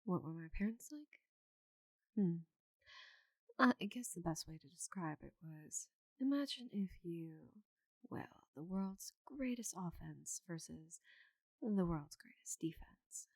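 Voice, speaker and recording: A woman voicing a giant female orc knight in an ASMR roleplay, confident, teasing and loyal, with soft breathy asides. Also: lowish voice, expressive, takes it slowly, no echo, exaggerated voice, rough recording. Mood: fond reminiscing. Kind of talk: ASMR roleplay, intimate slow delivery.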